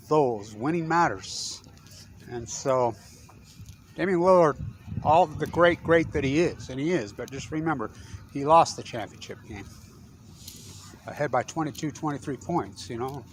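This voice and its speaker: An older man giving a rambling, blunt sports rant, laughing between remarks and punching out names loudly. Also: mid-range voice, a little hiss or hum. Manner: blunt, rambling, laughs mid-sentence, emphatic shouted names. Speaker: self-styled sports commentator. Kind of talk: rambling opinionated monologue.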